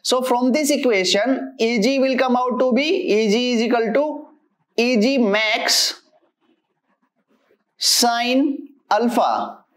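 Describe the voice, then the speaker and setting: A woman giving a step-by-step technical lecture as an engineering teacher, methodical and halting as she works through equations. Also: a little high.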